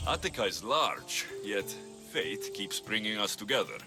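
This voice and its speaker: An actor playing a principled, stern mercenary hero in a video game, speaking in a firm, measured, dryly wry way. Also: male voice, fairly low pitch, very animated. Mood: dry, guarded. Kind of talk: video game dialogue acting. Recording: loud music behind the voice.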